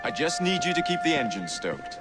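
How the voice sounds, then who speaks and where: An actor with an English accent playing a cocky young vigilante in a video game, wry and mock-polite. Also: male voice, a little high, sing-song, brisk, loud music behind the voice. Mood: cheeky, mock-polite. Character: cocky, roguish young male Victorian London vigilante. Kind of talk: video game cutscene acting.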